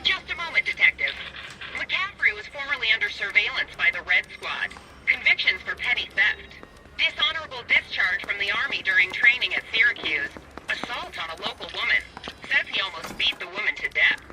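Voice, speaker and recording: A woman's voice: mid-range voice, expressive, fairly quick, poor audio. A woman voicing a businesslike police records clerk over a telephone in a crime game, reading out records matter-of-factly. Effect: telephone filter. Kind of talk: video game voice acting, 1940s crime drama.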